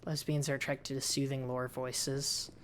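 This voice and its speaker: A woman with a low, androgynous and slightly raspy voice, chatting casually with viewers while she plays and talks game lore. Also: flat delivery, unhurried, slight background noise. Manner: soothing, chatty, thanks viewers often. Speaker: livestreamer and lore host. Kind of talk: casual livestream chat while gaming.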